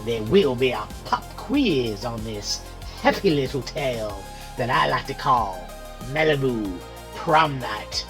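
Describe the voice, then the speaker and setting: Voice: mid-range voice, big pitch swings, slow pace, fairly bright tone, soft background music, exaggerated voice. An actor playing a gleeful old horror host with a teasing, folksy drawl, introducing a scary audio drama. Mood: gleeful, menacing. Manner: drawling, teasing, relishing the macabre.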